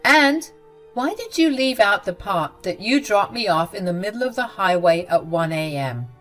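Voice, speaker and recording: A woman voicing an angry, self-pitying mother-in-law who talks in a shrill, accusing way. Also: animated, normal speed, fairly bright tone, faint music behind, close to an everyday voice. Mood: tearful, accusing.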